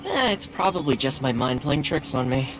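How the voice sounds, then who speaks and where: A man's voice: medium pitch, sing-song, moderate pace, music in the background, lightly acted, low-quality recording. A man playing an absent-minded video game character, musing hesitantly to himself. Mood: puzzled. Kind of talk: anime video game voice acting.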